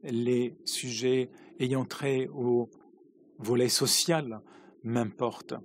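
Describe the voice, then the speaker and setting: A man doing simultaneous interpretation of parliamentary speeches, halting, with uneven pacing and frequent restarts. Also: mid-range voice, steady tone.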